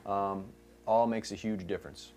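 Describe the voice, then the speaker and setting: A man in his thirties, an energy auditor, explaining an on-site home inspection in a relaxed way with many fillers, recorded in a house basement. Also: lowish voice, moderate pace. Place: basement crawl space and attic of a house.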